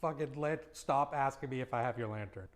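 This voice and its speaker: A man with a low voice performing improv comedy scenes, blunt and often breaking into laughter. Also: a little fast.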